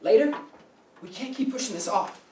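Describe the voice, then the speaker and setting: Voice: medium pitch, expressive, moderate pace, close to an everyday voice. An actor in a staged play reading playing a casual, slangy young best friend who is guarded about a secret. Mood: tense, insistent. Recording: roomy, distant mic, clear background noise.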